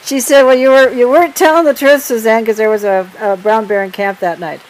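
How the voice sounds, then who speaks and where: An older woman telling stories in a lively way, laughing often and prompting her partner. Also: fairly high pitch, fairly quick, rough recording. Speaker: storyteller. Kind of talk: casual storytelling.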